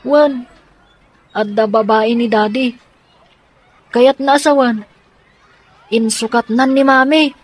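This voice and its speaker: A woman's voice: a little high, steady tone, slow pace, long pauses, low-quality recording. A woman playing a determined, scheming young daughter in a radio drama, speaking earnestly and insistently.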